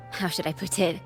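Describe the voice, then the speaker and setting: An actress playing a cheerful, easygoing young bard in a video game, with an expressive manner. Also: female voice, fairly low pitch.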